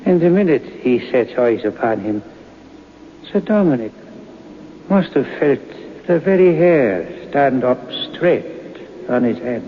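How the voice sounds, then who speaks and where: A man's voice: medium pitch, lively intonation, measured pace, pauses often, natural voice. Man as a folksy storyteller, muffled radio. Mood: hushed wonder. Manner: folksy, rambling, suspenseful. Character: Irish storyteller recalling his grandfather's eerie tale. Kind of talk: old-time radio dramatic storytelling. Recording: dull old radio recording, faint noise.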